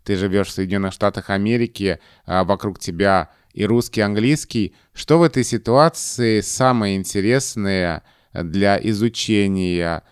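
Male host, low resonant voice, dry close mic, deliberate questions. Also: clean tone, dry recording. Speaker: interviewer. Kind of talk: conversational interview questions.

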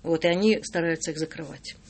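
A woman's voice: deep voice, quick pace, darkish tone. Thoughtful hesitating middle-aged woman. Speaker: singer-songwriter guest. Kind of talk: radio interview conversation.

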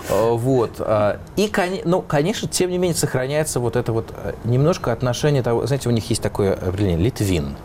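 A man's voice: medium pitch, animated, quick pace, hardly any pauses, background noise. A man, a musician being interviewed, answering in a reflective way as he searches for words.

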